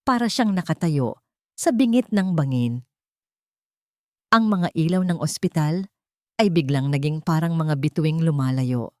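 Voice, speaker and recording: A woman's voice: deep voice, expressive, a little slow, pauses often, no room sound, studio quality. A woman with a Filipino accent giving dramatic scripted narration of a romantic story.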